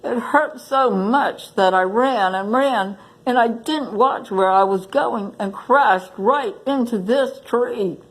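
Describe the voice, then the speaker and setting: Woman playing a slow, groaning hurt rhinoceros. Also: on the high side, low-quality recording. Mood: pained.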